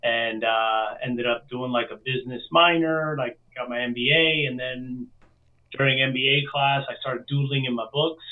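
A man's voice: medium pitch, level tone, moderate pace. A man from Miami speaking as an interview guest, casually telling his own story over a remote call. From Miami, United States. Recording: distant, thin phone-like call audio.

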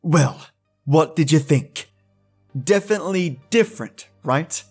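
A male podcast host speaks conversationally to his listeners. His voice sits a little high, and soft music plays faintly behind him. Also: animated, measured pace, slightly rough.

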